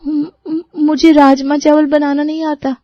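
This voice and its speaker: Lady doing a flustered young bride cartoon voice, high, stammery and sorry, dry slightly muffled sound. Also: level tone, measured pace, dry recording. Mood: nervous. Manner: hesitant, apologetic, stammering. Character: meek, flustered young newlywed daughter-in-law Prema. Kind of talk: Hindi cartoon moral story voice acting.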